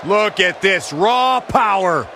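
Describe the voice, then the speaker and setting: A man playing an excitable play-by-play announcer in a wrestling video game, over a noisy arena. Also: high-pitched, slow, full character voice. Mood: excited, amazed. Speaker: wrestling commentators. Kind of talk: excited sports commentary. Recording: noisy arena crowd behind.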